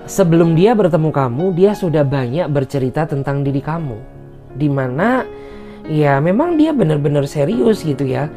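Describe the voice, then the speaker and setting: Low-voiced woman reading tarot, soft guitar behind. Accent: Indonesian. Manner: chatty, filler-heavy, voices the other person's feelings in first person. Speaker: tarot reader. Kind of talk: casual tarot reading monologue. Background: soft guitar music.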